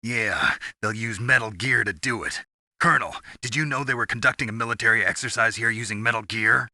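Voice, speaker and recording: A man's voice: mid-range voice, fairly quick, bright, forceful tone, no room sound, studio quality. A man playing a terse, skeptical covert-mission soldier in a video game's radio dialogue. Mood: suspicious.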